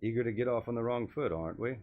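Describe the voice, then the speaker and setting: A man's voice: medium pitch, fast talker, phone-quality sound, slight background noise, lightly acted. A man voicing a crabby, intimidating old female sorcerer from a fantasy game, dry, haughty and wordy. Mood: sardonic, ominous.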